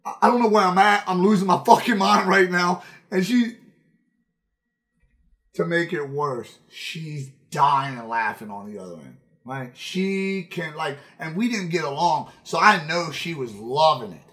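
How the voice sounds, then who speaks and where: A man in his thirties with a distinctly high voice for a male. He tells stories casually on a livestream, swearing freely. His delivery is animated and emphatic, circling back to repeat phrases for effect. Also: a little slow. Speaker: game streamer. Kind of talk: casual, profane storytelling on a livestream. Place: indoor room at a streaming setup.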